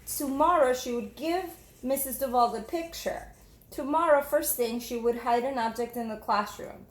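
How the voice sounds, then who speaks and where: High-voiced woman reading a storybook, echoey room. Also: normal speed. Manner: expressive, instructive. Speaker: teacher reading aloud. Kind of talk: storybook read-aloud with questions to children.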